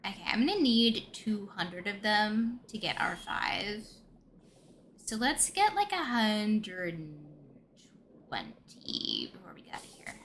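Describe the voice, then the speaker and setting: A woman streams a game with casual, chatty commentary, thinking out loud as she goes. Her voice is bright and forward, with lively swings in pitch. Faint noise sits behind her. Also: takes it slowly. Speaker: live streamer.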